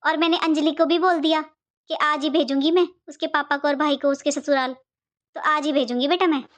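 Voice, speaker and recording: A woman's voice: sits high, steady tone, brisk, natural voice. A woman playing a middle-aged mother-in-law in a cartoon family drama, a fretful matriarch who talks in a rambling, repetitive, motherly way. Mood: reassuring.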